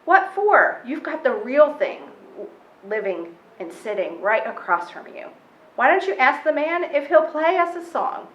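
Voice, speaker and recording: A woman reading a children's picture book, voicing a sassy, wise, warm-hearted grandmother with a folksy, emphatic way of teaching. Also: sits high, big pitch swings, measured pace, echoey room, lo-fi sound, voice pushed up, heavily acted. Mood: sassy, playful.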